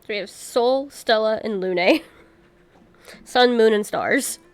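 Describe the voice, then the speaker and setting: A woman's voice: a little high, slow. A woman game streamer narrating cutscenes aloud and adding her own commentary.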